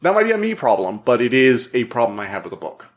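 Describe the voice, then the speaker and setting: A man hosting a book review podcast, talking casually and a little hoarse, rambling and restarting his thoughts. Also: medium pitch, sing-song, fast talker. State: slightly hoarse. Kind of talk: casual spoiler review monologue.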